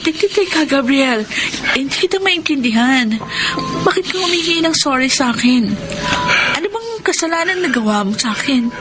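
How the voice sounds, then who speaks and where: A woman's voice: sits high, lively intonation, moderate pace, low-quality recording. A Filipino actress playing a hurt, trusting young woman betrayed in love, with a tender, dramatic delivery in a radio drama. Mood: hurt, accusing. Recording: music under the voice.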